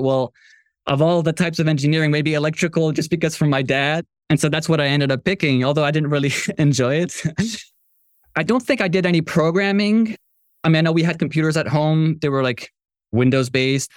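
A man from Morocco talking conversationally as a podcast guest, telling his own story as a software entrepreneur. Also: on the high side, dry recording, clean studio sound.

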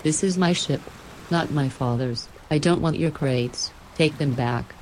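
A woman's voice: low-pitched, measured pace, a little mellow, slight background noise, poor audio. A woman voicing a bossy, arrogant red-headed female ship captain who speaks curtly. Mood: commanding. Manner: commanding, curt.

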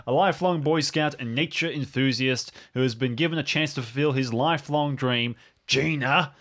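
A man with a medium-pitched voice chats casually on a role-play podcast. He is a regular player with a playful, mock-serious way of talking. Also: normal speed, fairly bright tone. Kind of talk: casual banter.

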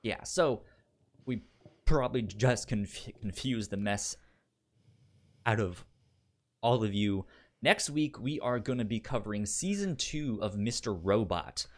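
A man chatting casually as a podcast co-host, stuttering on word onsets and often repeating words and syllables in a halting way. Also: lowish voice, animated.

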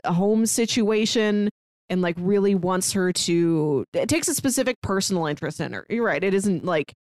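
A woman talks through a film in a casual podcast style, slipping into quoted lines as she retells. Her pitch sits in the middle, and the sound is very dry and close, without room echo. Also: darkish tone. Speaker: podcast co-host.

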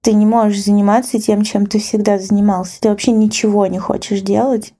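A woman's voice: medium pitch, level tone, a little fast, dark tone. A woman casually recounting a personal memory as a podcast guest, candid and colloquial. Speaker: guest editor telling a personal story. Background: background music.

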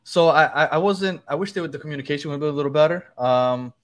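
A man hosts a casual fan podcast with a slightly high voice. He chats loosely, jokes with his co-hosts and restarts his sentences as he goes. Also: quick pace, fairly bright tone. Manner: chatty, joking, loose restarts. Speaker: podcast host.

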